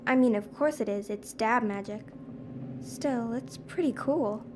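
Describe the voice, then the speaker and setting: A woman's voice: a little high, unhurried, noisy background, close to an everyday voice. An actress playing a curious, wry young woman in a video game, musing casually to herself. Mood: intrigued. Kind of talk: video game character monologue.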